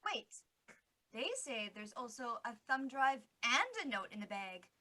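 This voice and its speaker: A woman playing an excitable newsroom assistant relaying urgent messages in a comic radio play. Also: fairly high pitch, unhurried, heavily acted. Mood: urgent, excited.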